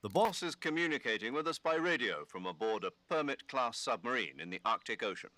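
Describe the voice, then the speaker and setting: An actor playing a commanding army major giving a mission briefing in a video game, crisp, formal and emphatic. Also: male voice, medium pitch, animated, brisk, pushed and bright, no room sound. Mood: brisk, official. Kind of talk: video game cutscene acting.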